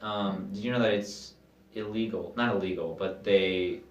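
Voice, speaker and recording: A young man chatting casually on a podcast among friends, rambling with many fillers and quick bursts. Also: sits low, fairly even intonation, highs cut off, a little hiss or hum. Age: young adult. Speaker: podcast host. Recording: echoey room, mic far off, music behind.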